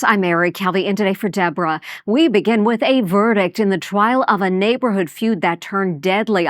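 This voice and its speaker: Woman news anchor, formal, crisp, dry studio sound. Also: mid-range voice, no room sound. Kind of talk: broadcast news reading.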